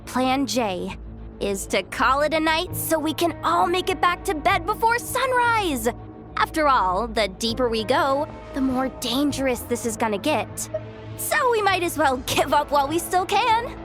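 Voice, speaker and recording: A woman's voice: sits high, animated, measured pace, over music. A woman voicing a cheeky, breezy young female treasure hunter in a video game, with a flippant, chatty, theatrical manner. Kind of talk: animated video game voice acting.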